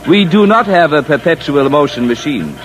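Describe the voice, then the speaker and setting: An actor playing a haughty alien government official in a radio drama, speaking formally and pompously with sly courtesy. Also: male voice, fairly high pitch, animated, moderate pace, soft background music, close to an everyday voice. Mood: smug, sly. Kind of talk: 1950s radio drama acting. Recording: noisy old-time radio recording.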